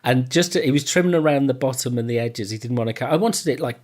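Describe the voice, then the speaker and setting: British bloke hosting a podcast, chatty and rambling, chuckles a lot, mid pitched voice. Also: male voice, fast talker, a little mellow. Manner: jokey, chuckling often. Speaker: podcast host. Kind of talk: casual chat. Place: remote online call.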